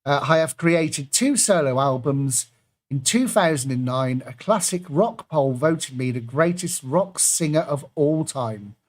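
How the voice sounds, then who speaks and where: A man's voice: a little high, expressive, a little slow. A man hosting an online pub quiz, reading out clues and adding jokey asides between them.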